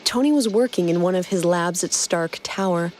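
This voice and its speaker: A woman's voice: lowish voice, moderate pace, a little mellow, slight background noise. A woman hosting a podcast and reading scripted fan fiction as narrator.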